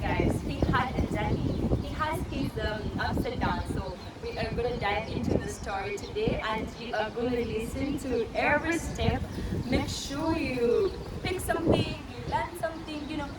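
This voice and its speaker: A young Ugandan woman with a Ugandan accent hosting a casual interview, chatty and lively, calling her viewers guys. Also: a little high. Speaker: interview host. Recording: echoey room, distant mic, loud background noise.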